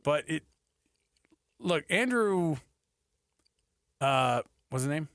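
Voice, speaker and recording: A man's voice: fairly high pitch, animated, very slow, fairly bright tone, no echo. Male sports radio host, dry close sound. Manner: conversational, repeats phrases for emphasis. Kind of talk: casual sports talk commentary. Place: radio studio. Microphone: close studio microphone.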